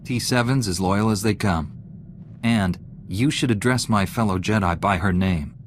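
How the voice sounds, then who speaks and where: A man's voice: fairly low pitch, moderate pace, slight background noise, close to an everyday voice. An actor voicing a principled male Jedi knight in a video game, dry and level in tone. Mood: steady. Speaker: player character.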